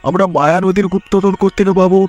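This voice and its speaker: Blunt, exasperated village husband played by a man, high voice, quick delivery, cartoon fairy tale. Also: fairly even intonation, a little mellow, soft background music, close to an everyday voice. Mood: indignant. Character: honest, indignant village husband and elder brother.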